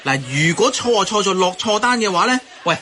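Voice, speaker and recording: An actor playing a cheeky, nosy young Hong Kong teahouse worker who likes stirring things in a radio comedy. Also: male voice, sits high, animated, a little fast, pushed and bright, slight background noise. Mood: teasing. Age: young adult. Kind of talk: Cantonese radio comedy acting.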